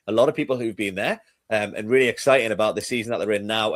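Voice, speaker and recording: A man hosting a podcast, welcoming a guest with enthusiastic, punchy remarks. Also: on the low side. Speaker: podcast host.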